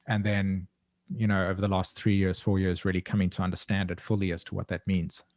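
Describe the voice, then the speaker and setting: Low-voiced South African man, dry close sound. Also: level tone, brisk, darkish tone, no echo. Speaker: guest, evolutionary astrologer. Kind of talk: reflective conversational interview.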